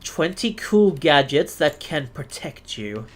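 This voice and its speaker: An Australian man hosting a live stream, chatting casually with viewers and often pausing to search for the right word. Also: on the high side, big pitch swings, slight background noise. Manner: chatty, searches for words, self-deprecating.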